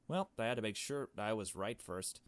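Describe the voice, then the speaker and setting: A man voicing a blunt, cool young woman investigator from a visual novel, with a dry, deliberate, confrontational manner. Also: fairly low pitch, smooth, no room sound, lightly acted. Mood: cool, sardonic.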